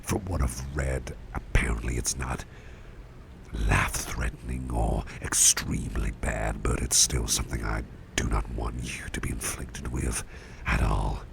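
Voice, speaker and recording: A man playing a caring, protective boss in an ASMR roleplay, speaking in a gentle, breathy near-whisper with reassuring, hesitant pauses. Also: fairly even intonation, heavily acted. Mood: gentle concern. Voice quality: breathy near-whisper with heavy low creak. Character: caring, protective male boss tending to his employee. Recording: close recording with clear background noise.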